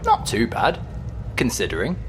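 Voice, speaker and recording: A man's voice: mid-range voice, huge pitch swings, moderate pace, a little hiss or hum. An actor playing a polite, slightly nervous male ghost in an audio drama, chatting in mild understatement. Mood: polite, chatty. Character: friendly, nervous, polite male ghost, matter-of-fact about danger.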